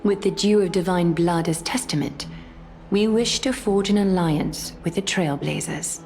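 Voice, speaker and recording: A woman playing a composed, regal noblewoman in a video game, speaking in a soft, formal, courtly and measured way. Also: on the low side, soft background music. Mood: gracious, solemn.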